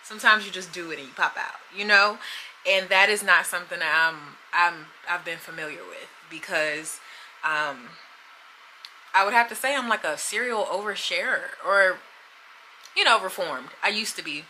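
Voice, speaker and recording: A woman's voice: fairly low pitch, normal speed, pushed and bright, a little hiss or hum, rough recording. A woman in her thirties chatting candidly to the camera in a casual vlog, often laughing. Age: 30s. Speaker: vlogger.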